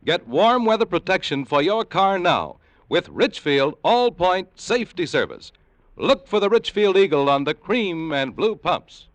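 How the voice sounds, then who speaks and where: A man's voice: high voice, very animated, measured pace, a little hiss or hum. A man with an American accent, a polished, emphatic announcer in mid-century radio style. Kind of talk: 1950s radio announcing and sponsor read.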